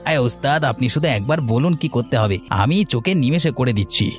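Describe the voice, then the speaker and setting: A man's voice: medium pitch, expressive, quick pace, faint music behind, close to an everyday voice. A man voicing an eager, fawning henchman to a village boss in a fairy-tale cartoon.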